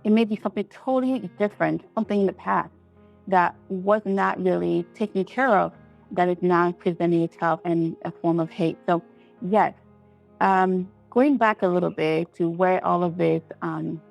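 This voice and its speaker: A woman from Liberia speaks as a guest on a talk show. Her voice is slightly low with noticeable creak, over faint music. She is reflective and hesitant, feeling her way to each point in a conversational style. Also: moderate pace, darkish tone, poor audio. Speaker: interview guest. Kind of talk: conversational interview answers.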